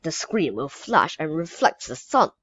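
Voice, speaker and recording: A woman's voice: low-pitched, big pitch swings, unhurried, breathy edge, muffled recording, heavily acted. Wolf, a woman reading as a clever boy. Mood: thoughtful.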